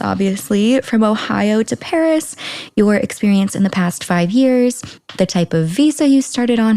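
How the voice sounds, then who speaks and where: A woman's voice: medium pitch, dark tone, no room sound, studio quality. A woman in her thirties hosting a podcast in casual, playful chat, often laughing. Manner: giggly, playful, chatty. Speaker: podcast host. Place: Paris.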